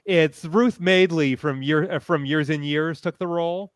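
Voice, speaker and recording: A man speaking as a panelist in an informal online fan discussion, explaining things in a measured, informative way. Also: fairly high pitch, no echo, clean studio sound. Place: indoors, online panel call.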